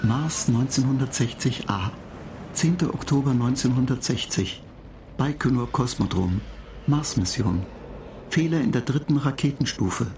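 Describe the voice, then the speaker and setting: A cool, condescending computer mind, played by a man in a radio drama. The voice sits at a medium pitch and recites in a measured, emotionless manner, with clearly audible music behind it. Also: lightly acted. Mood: detached. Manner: detached, measured, relentless recital. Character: cool, superior computer mind lecturing human space travellers. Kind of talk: German radio play, recited log of facts.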